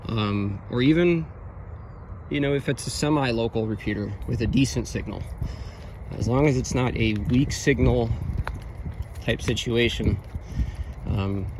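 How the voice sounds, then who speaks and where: A man giving an informal, unscripted technical review, explaining things in a halting way. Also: medium pitch, background noise. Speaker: radio equipment reviewer.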